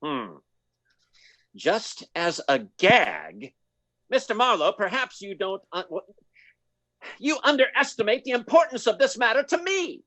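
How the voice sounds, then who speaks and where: Man playing slow, swooping, fretful rich old gentleman. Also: high voice, sing-song, no room sound, full character voice. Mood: anxious, insistent. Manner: formal, fussy, exclamatory. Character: fretful, formal wealthy older gentleman client. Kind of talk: 1940s radio detective drama.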